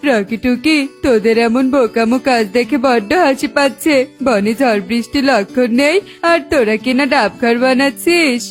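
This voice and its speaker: A scheming, greedy forest bird, played by a woman in a children's cartoon. The voice sits high, with clear music playing underneath. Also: moderate pace, hardly any pauses, lightly acted. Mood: mocking.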